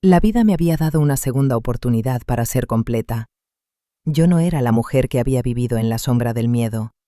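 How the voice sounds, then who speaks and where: A man narrating a first-person story in a calm, measured, reflective way. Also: medium pitch, dry recording, clean studio sound.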